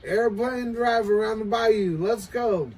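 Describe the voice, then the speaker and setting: A man's voice: high voice, takes it slowly, darkish tone. An older American man calling out on CB radio in a chanting, repetitive way, recorded indoors from a distance. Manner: chanting, repetitive sign-offs. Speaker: CB radio operator. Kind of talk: CB radio calling with repeated catchphrases. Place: small cluttered home radio shack, indoors. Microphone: far camera, while speaking into CB desk microphone. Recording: distant mic, some background noise.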